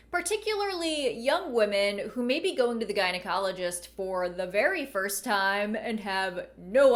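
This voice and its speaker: Wry woman with a slightly high voice. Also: fairly bright tone. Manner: wry, bemused. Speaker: video creator and host. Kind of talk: casual video essay commentary. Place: at home, indoors.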